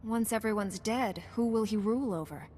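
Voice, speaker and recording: An actress playing a firm, guarded Jedi knight in a video game, speaking directly and in a businesslike way. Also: female voice, a little high, moderate pace, a little mellow, a little hiss or hum, close to an everyday voice. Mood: serious, steady. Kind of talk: video game cutscene acting.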